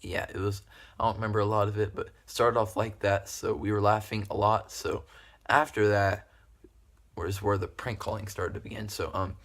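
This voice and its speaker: A man's voice: lowish voice, little pitch movement, normal speed, a bit bright. A young man telling a story casually to camera in a rambling, giggly, repetitive way. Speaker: video creator telling stories.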